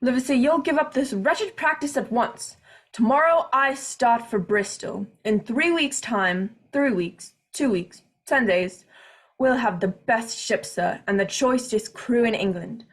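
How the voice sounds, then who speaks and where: Pompous, excitable squire played by a woman, husky edge, old-fashioned emphatic diction, period radio play. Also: fairly high pitch, measured pace. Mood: excited, commanding. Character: pompous, excitable, hearty English country squire, male.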